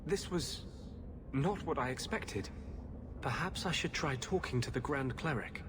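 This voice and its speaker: An actor playing a shaken, brooding young male mage in a video game, with halting, troubled delivery. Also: medium pitch, noisy background, lightly acted.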